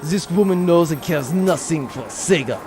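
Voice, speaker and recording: A man's voice: a little high, expressive, moderate pace, a little mellow, faint music behind, close to an everyday voice. A man playing a passionate, pretentious retro arcade fanatic, emphatic and scornful, in a comedy audio drama. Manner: emphatic, scornful, sprinkles French words.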